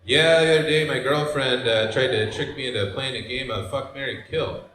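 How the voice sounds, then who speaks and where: A man in his forties from Michigan, with a Michigan accent, doing a deadpan stand-up set in a brewery with loose asides to the crowd. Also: mid-range voice, normal speed, slight background noise. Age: 40s. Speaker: stand-up comedian. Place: brewery stage before a live audience. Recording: roomy live venue sound.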